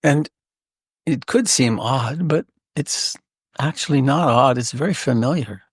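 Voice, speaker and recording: A man leading a guided meditation as a teacher, speaking gently and unhurriedly with frequent pauses. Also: mid-range voice, husky, dry recording, studio quality.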